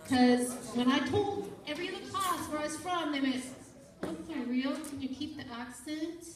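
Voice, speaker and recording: A playful, teasing woman teaches a class, her voice pitched noticeably high. She is picked up from across a large, very echoey room. The chatter of students murmurs behind her. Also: moderate pace, distant mic. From Burbank, California.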